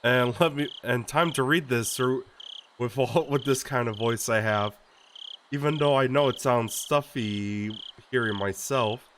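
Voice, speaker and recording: A young man with a stuffy, congested voice from a cold, reading a visual novel aloud on a live stream in a relaxed way, with frequent laughs and fillers. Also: medium pitch, measured pace, a little hiss or hum.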